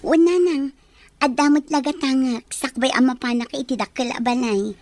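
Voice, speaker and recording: A woman's voice: high voice, fairly even intonation, moderate pace, a little mellow. A woman with an Ilocano Filipino accent playing a young, deferential, naive housemaid in a radio drama. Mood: dutiful. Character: young housemaid daughter, deferential yet observant.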